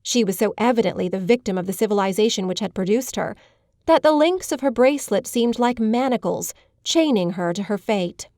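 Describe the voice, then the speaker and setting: A woman narrating a literary audiobook in a measured, polished storytelling manner. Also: medium pitch, animated, a little mellow, dry recording, studio quality.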